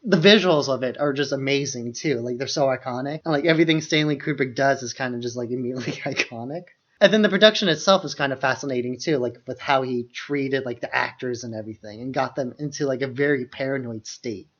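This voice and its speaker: A man's voice: mid-range voice, fast, hardly any pauses, fairly bright tone. A man co-hosting a podcast, chatting casually about films in a loose conversational way peppered with "like". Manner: conversational, heavy use of "like". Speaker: podcast co-host. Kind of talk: casual film discussion. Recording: dull lo-fi microphone sound.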